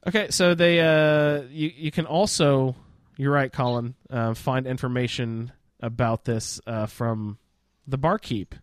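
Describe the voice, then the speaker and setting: Male podcast host, mid-pitched voice, dry close sound, casual game discussion. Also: fairly even intonation, a little slow, hardly any pauses, no echo. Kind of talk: casual roundtable chat about a video game.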